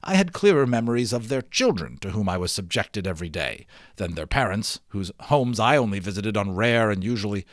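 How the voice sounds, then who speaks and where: A man's voice: on the low side, big pitch swings, fairly quick, dry recording, studio quality. A man giving a dramatic storybook read-aloud of a children's novel, with theatrical, emphatic phrasing.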